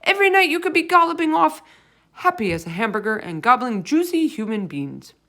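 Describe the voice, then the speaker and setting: Woman voicing a loud, roaring, bullying storybook giant. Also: sits high, sing-song, a little fast, voice pushed up, full character voice. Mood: roaring, disgusted. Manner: loud, roaring, insulting, ungrammatical speech. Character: huge greedy bullying man-eating male giant, coarse and brutish. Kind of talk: storybook read-aloud character voice.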